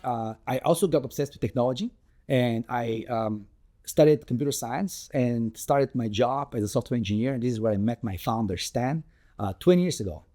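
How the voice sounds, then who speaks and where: Earnest man, mellow voice. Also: medium pitch, soft background music. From Bulgaria. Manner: earnest, measured, with frequent ums and restarts. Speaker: tech founder and guest. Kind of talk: telling his life story in an interview.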